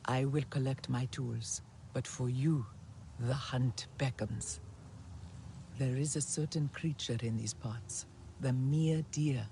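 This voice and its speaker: A man playing a calm, wise alien healer in a video game, gentle and solemn. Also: mid-range voice, slow pace, gravelly, slight background noise. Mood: serene, instructive. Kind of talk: video game cutscene acting.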